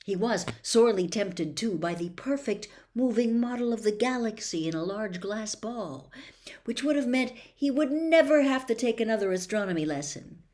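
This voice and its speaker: A woman reading a story aloud as expressive audiobook narration, with measured, dramatic phrasing. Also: fairly high pitch. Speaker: storybook reader.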